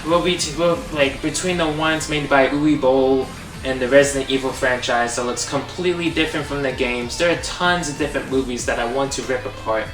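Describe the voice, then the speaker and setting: A man's voice: mid-range voice, brisk. A young man hosting a comedic movie review, sarcastic and exasperated. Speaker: host. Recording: echoey, distant, under music.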